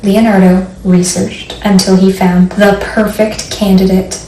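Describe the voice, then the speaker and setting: A woman's voice: medium pitch, little pitch movement, measured pace, mellow, distant mic. A woman librarian leading a children's storytime, speaking simply and encouragingly to young listeners and reading aloud. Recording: roomy echo, faint background noise.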